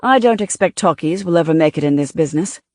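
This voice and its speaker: A cocksure comedy star of the silent screen, read by a woman narrator, holds forth dismissively. The voice is fairly low, in a dry storybook recording. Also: fast, dry recording. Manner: holding forth, flatly dismissive.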